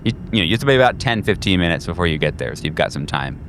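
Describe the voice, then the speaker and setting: A man with a deep, low voice acts as game master for a tabletop game. He improvises his narration in a loose, conversational and sometimes profane way. There is faint noise behind him. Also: sing-song, rapid-fire, a bit bright.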